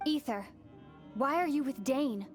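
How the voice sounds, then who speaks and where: Solemn, high-voiced young princess. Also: female voice, unhurried. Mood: tender, wistful. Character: solemn, resolute young princess, long-lost sister. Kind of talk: dramatic video game voice acting. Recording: music under the voice.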